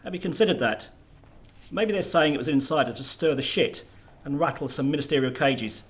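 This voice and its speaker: A man's voice: fairly high pitch, a little fast, natural voice. A man acting in a radio drama as a blunt army general who challenges insistently. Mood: challenging.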